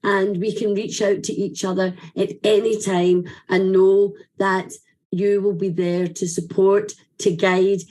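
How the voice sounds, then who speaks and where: A woman's voice: medium pitch, level tone, a little slow, warm tone. A woman with a Scottish accent giving an earnest, effusive and inspirational speech of thanks. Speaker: speaker giving a vote of thanks. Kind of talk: heartfelt ceremonial thanks speech.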